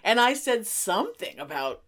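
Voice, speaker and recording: A woman's voice: mid-range voice, big pitch swings, moderate pace, fairly bright tone. A middle-aged woman hosting a podcast in casual banter with a friend, emphatic and teasing, given to exclamations. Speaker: podcast host.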